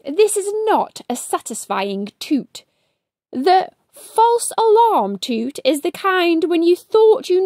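A woman reading a storybook aloud to children, with theatrical, drawn-out emphasis on key words. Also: extremely high pitch, dark tone, no echo, clean studio sound. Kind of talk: expressive read-aloud narration for children.

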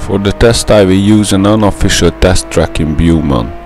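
A man's voice: sits low, moderate pace, darkish tone, faint music behind. A young man with a Hungarian accent, a native Hungarian speaker, narrating a gameplay tutorial in a careful, methodical way. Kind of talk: scripted gameplay voice-over.

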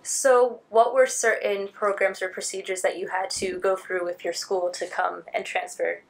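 A woman's voice: medium pitch, little pitch movement, normal speed, creaky, rough recording. A teenage girl interviewing a fellow student, asking questions and encouraging her with frequent "mm-hmm"s. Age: teens. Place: school studio set, indoors.

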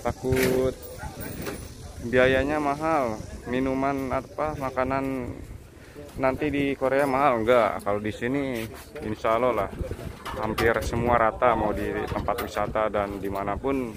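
A man's voice: mid-range voice, steady tone, measured pace, long pauses, crowd noise. A man from Indonesia chatting casually as a travel vlogger, guiding viewers along as he walks outdoors by the sea with a handheld phone. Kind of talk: casual walking vlog chat. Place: outdoors along a seaside walkway.

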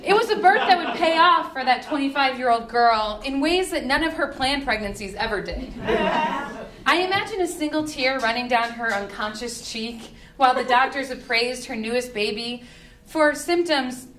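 High-pitched, animated young woman reading aloud. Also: normal speed. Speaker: reader at a book reading. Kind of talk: reading humorous essay aloud to live audience. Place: indoor party room with live audience. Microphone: podium microphone on a stand. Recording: roomy live event with faint noise.

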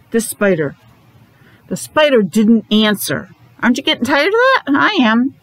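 A woman's voice: fairly high pitch, big pitch swings, takes it slowly, dry recording. A middle-aged or older woman teacher reading a story aloud to young children, slightly muffled by a face mask, with chatty explanatory asides and questions. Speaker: preschool or elementary teacher. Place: classroom, indoors.

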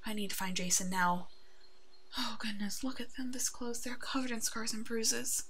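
A woman playing a kind, protective female werewolf alpha in an ASMR roleplay, speaking in a gentle, nurturing way that often slips toward a whisper. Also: on the high side, normal speed, slightly rough. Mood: alarmed, worried. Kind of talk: ASMR roleplay acting, tender and soothing.

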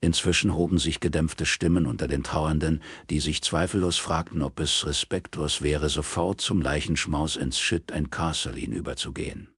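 A male audiobook narrator with an extremely low, husky voice. He reads prose soberly and in measured phrases, close to the microphone in a dry recording. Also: steady tone, fairly bright tone, dry recording. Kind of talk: scripted literary narration in German.

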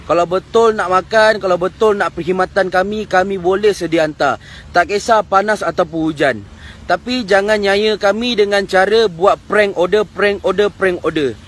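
A young Malaysian man makes an earnest, plain-spoken appeal in a slightly high voice. He sounds pleading, recorded on a phone outdoors with faint traffic noise around him. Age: young adult. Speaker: food delivery rider. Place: outdoors by greenery, wearing helmet. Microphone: phone at arm's length.

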